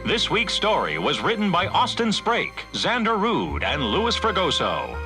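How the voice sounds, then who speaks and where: An actor playing a showy announcer in the style of old-time radio drama. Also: male voice, high voice, wildly swooping pitch, unhurried, over music, full character voice, rough recording. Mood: showy, formal.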